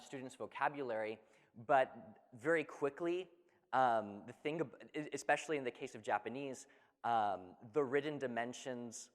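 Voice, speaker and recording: A man answering audience questions about his startup, hesitant, with many ums and restarts. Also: medium pitch. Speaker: startup cofounder, creative director. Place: event hall. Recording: long hall reverb.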